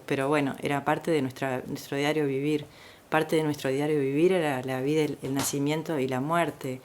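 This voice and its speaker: Low-voiced Uruguayan woman reminiscing. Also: a little hiss or hum. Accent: Uruguayan Spanish. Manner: frequent "este" fillers, easygoing rural warmth. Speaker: interviewee. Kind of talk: relaxed personal storytelling.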